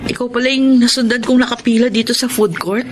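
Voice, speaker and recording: A woman's voice: fairly high pitch, fairly even intonation, quick pace, noisy background, close to an everyday voice. An actress playing a wounded, regretful woman still in love, in an emotional, pleading Tagalog radio drama style. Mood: wistful. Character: wounded, regretful woman still loving her former boyfriend. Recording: loud music over studio voice.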